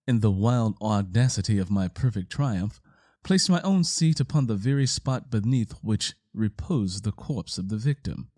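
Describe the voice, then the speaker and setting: Paranoid murderer confessing in a gothic tale, super theatrical and intense, dry close sound. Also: male voice, mid-range voice, a little mellow, no echo. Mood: smug, confident. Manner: theatrical, vehement, emphatic repetition. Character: guilt-ridden madman murderer confessing, frantic and paranoid. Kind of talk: dramatic first-person gothic story reading.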